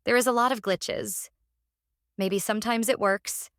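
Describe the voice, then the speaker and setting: A woman narrating a casual software tutorial, explaining step by step in a halting way and repeating phrases. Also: mid-range voice, fairly even intonation, clean tone, no echo, clean studio sound. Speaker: tutorial presenter.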